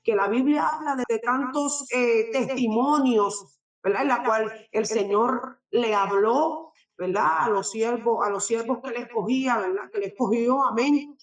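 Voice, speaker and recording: Puerto Rican woman, echoey and distant. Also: a little high. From Puerto Rico. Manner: halting, often tags sentences with a questioning filler. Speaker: guest giving a testimony. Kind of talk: religious personal testimony. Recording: echoey room, speaker away from the mic.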